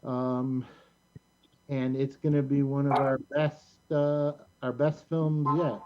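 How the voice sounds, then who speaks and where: A middle-aged American man hosting a casual show in a rambling, halting way. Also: mid-range voice, flat delivery, dull audio, background noise. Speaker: host of a community show.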